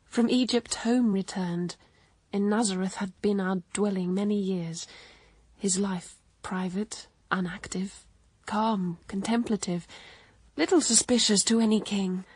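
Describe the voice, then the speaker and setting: A sorrowing, dignified mother in classical radio drama. She sighs through solemn verse at a very slow pace, her middle-pitched voice husky and recorded close and dry. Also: fairly even intonation, darkish tone, no room sound. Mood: wistful, troubled. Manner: sighing, contemplative, solemn.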